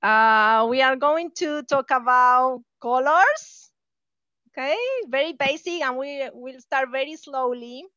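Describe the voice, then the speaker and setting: A woman's voice: sits high, slow pace, bright, forceful tone, no echo, lo-fi sound. A woman from Argentina, a native Spanish speaker with an Argentine accent, gives an informal online math lecture, friendly and full of fillers and laughs. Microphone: video call.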